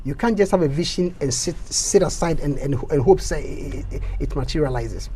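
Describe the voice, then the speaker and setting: A man with a Ghanaian accent, a council candidate giving an impassioned interview, stammering through repeats and rising into loud preaching bursts. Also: a little high, normal speed, a little hiss or hum.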